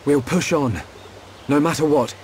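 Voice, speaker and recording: A man's voice: a little high, measured pace, a little hiss or hum, close to an everyday voice. An actor playing an earnest, determined young hero in a video game cutscene, sincere and eager in his delivery. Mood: curious, keen.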